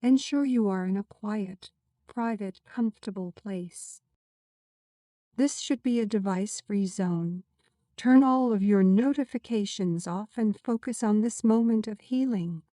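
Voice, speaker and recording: Woman, meditation narrator, dark relaxed tone, scripted, soothing measured delivery, dry close sound. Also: mid-range voice, no echo.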